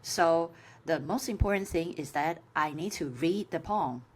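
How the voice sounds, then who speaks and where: A woman with a Chinese accent, a native Chinese speaker, giving an informal tutorial as a course instructor, with halting phrasing full of filler sounds. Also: sits low. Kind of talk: informal screen-share tutorial.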